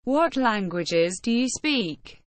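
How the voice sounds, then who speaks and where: Woman, slow careful phrase reading, dry close sound, lesson narration. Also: fairly high pitch, lively intonation, no room sound. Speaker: English phrase reader.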